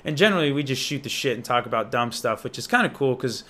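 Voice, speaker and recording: Bright, punchy young American man. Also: medium pitch, expressive, fast talker. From Indiana, United States. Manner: informal, slangy, enthusiastic. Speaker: app reviewer and demonstrator. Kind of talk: casual unscripted app walkthrough.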